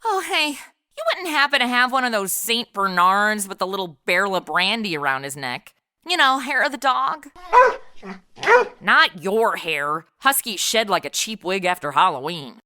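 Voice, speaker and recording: A woman's voice: mid-range voice, big pitch swings, normal speed, pushed and bright, clean tone. A woman playing a sarcastic modern party girl in an audio comedy, slangy and blasé, acting and narrating. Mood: flippant. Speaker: lead character and narrator.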